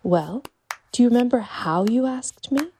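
A woman voicing a practical seamstress bride-to-be in a short story, gently probing with questions. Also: on the high side, expressive, a little slow, a little hiss or hum, voice pushed up. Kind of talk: audiobook character dialogue.

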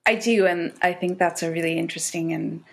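A woman with a slightly low-pitched voice speaks as a guest in a literary interview. She is a writer and teacher who thinks aloud in long, articulate, reflective stretches. Her talk is lightly sprinkled with laughter. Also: fairly even intonation, a little fast, creaky.

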